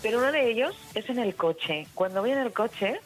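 A woman's voice: on the high side, animated, normal speed, music in the background, low-quality recording. A woman with an accent from Spain chatting casually as a radio caller, her voice freshly out of bed. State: just woken, unwarmed voice. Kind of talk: casual chat.

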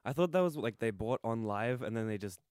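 A man's voice: medium pitch, animated, fairly quick, darkish tone, clear, resonant tone, dry recording, clean studio sound. A young man co-hosting a podcast, chatting casually about video games and shows. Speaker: podcast co-host.

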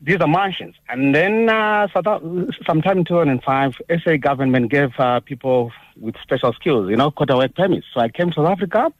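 A man's voice: on the high side, expressive, a little fast, few pauses, dry recording, dull audio. A man from Zimbabwe calling a radio show, talking emphatically and often checking for agreement. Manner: emphatic, asks for agreement with "right?". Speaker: caller. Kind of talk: phone-in call.

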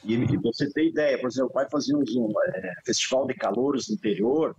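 A man's voice: medium pitch, a little fast, muffled recording, a crowd in the background. A cameraman talking casually about his early work, ending phrases with tag questions. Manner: relaxed, trailing "né?" tags. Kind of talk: casual reminiscing.

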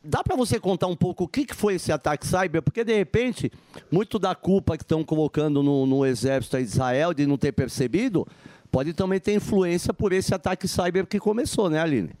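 Higher-voiced host, dry studio sound. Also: male voice, fairly quick, darkish tone, dry recording. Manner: hesitant, searching for words. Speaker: radio show host. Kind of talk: interview questioning.

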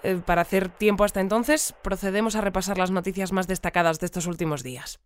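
A woman playing a composed presenter of a futuristic community radio bulletin, speaking in a formal, measured newsreader manner. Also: mid-range voice, close to an everyday voice. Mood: composed, informative. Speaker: news presenter. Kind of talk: scripted radio news bulletin.

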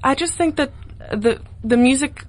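A woman's voice: high-pitched, normal speed. A woman in her twenties with a South African accent, a singer-songwriter chatting casually as a guest in a radio interview. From Cape Town, South Africa. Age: 20s.

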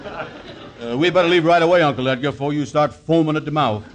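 A man's voice: fairly high pitch, quick pace, lightly acted. A man playing a pompous, bumbling schemer who stammers his way out of trouble, in a 1940s radio comedy. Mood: blustering. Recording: noisy old radio broadcast.

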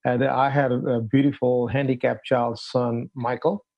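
Older Pakistani-born man, interview guest, muffled lo-fi sound, halting delivery. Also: medium pitch, flat delivery, creaky. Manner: halting, many fillers, non-native phrasing. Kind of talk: telling his life story in an interview. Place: at home, in a room. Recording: dull lo-fi sound.

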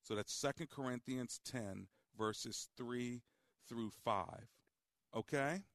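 A man hosting a call-in radio show, speaking calmly and deliberately as he guides a caller. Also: medium pitch, dry recording, clean studio sound. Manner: calm, deliberate, guiding. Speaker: radio talk show host. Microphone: studio microphone.

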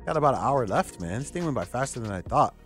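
A man's voice: mid-range voice, fast talker, slightly rough, soft background music. A man hosting sports radio, chatting casually and telling stories with acted-out dialogue. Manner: animated storytelling with acted-out dialogue. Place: radio studio.

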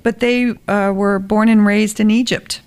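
A woman's voice: medium pitch, fairly even intonation, unhurried, clear, resonant tone. A middle-aged woman raised in Florida, a guest in a casual interview, talking in a chatty storytelling way with restarts. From USA, born in New York, raised in Florida. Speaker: guest, festival director.

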